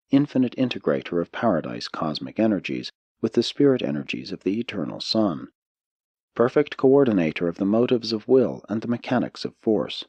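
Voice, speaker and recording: A man reading a spiritual text as an audiobook narrator, in measured, deliberate phrasing. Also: low-pitched, warm tone, dry recording, lo-fi sound.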